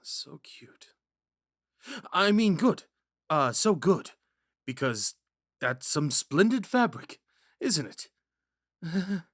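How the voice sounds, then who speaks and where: A man playing a kind, shy young prince in a romantic ASMR roleplay, speaking gently and hesitantly. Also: a little high, big pitch swings, very slow, pauses often, no room sound, clean studio sound, full character voice. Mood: smitten, flustered. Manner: soft-spoken, hesitant, stammering when flustered. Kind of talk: ASMR roleplay, scripted monologue to a listener.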